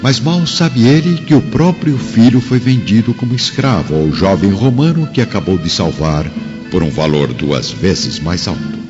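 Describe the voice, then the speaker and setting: A man's voice: on the low side, animated, moderate pace. A man giving scripted dramatic narration for a radio play. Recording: reverberant, muffled, music behind.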